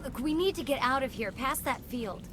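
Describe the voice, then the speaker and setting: A woman's voice: high-pitched, expressive, moderate pace, noisy background. An actress playing a frightened young female research assistant in a video game, speaking nervously with stammers. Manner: nervous, hesitant.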